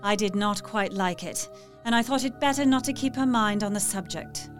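A woman's voice: mid-range voice, moderate pace, soft background music, close to an everyday voice. A woman playing a caring, watchful young Victorian woman reading out her journal thoughtfully in a gothic audio drama. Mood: uneasy.

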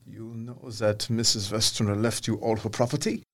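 Gravelly, husky, fatherly old professor. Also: on the low side, flat delivery, moderate pace. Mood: grave, earnest. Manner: solemn, emphatic, formal foreign-sounding phrasing. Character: earnest, fatherly older male professor, grave and mysterious. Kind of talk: period audio drama acting.

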